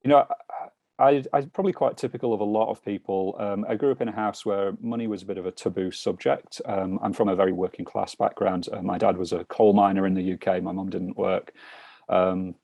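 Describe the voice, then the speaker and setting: A man's voice: low voice, fairly even intonation, brisk, gravelly, warm tone, dry recording. A middle-aged man with a British accent, a financial mentor talking casually and hesitantly, with many ums and restarts. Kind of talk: casual chat on a video call.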